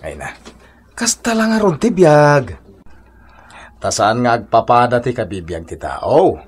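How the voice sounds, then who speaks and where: A man playing a poor, resigned husband and father in a radio comedy drama, sighing and philosophising, with an Ilocano accent and a husky voice. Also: mid-range voice, big pitch swings, unhurried. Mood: earnest.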